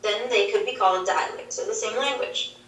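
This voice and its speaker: A woman's voice: medium pitch, a bit bright, slight background noise. Woman on a roomy, distant video call. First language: Swedish. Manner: measured, explaining with examples. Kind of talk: explanatory answers on linguistics. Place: played through a wall screen in a dim room. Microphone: video call heard over speakers. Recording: roomy, distant playback from a screen.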